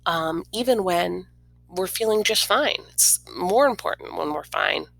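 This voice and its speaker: Bright-voiced woman reading to children. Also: fairly low pitch, lively intonation, measured pace, gravelly, no echo. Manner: informal, explaining feelings simply to young listeners. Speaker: read-aloud storyteller. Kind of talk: expressive children's picture book reading with gentle explanatory asides.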